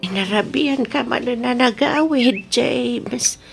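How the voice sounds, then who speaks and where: A woman's voice: fairly high pitch, slow, gravelly, soft background music. A woman playing a caring, sorrowful old grandmother with a Filipino accent in an audio drama. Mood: concerned, weary. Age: older. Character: frail elderly widower's mother, caring and sorrowful grandmother. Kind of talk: Ilocano audio drama acting.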